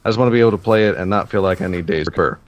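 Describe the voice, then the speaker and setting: A man's voice: low voice, very fast. A man co-hosting a casual gaming podcast, rambling with many fillers and restarts. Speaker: podcast co-host.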